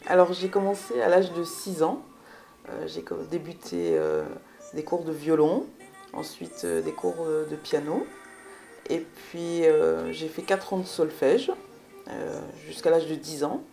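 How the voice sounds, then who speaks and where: A woman speaks in a deep register with a gravelly, creaky edge. She answers interview questions as a musician, hesitating and pausing often in the middle of her phrases. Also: sing-song, dark tone, soft background music. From Belgium. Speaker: musician, singer and guitarist. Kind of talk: interview answers about personal career.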